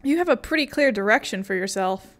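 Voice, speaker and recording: Higher-voiced woman reading game lines. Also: expressive, fairly quick. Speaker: let's play host reading along.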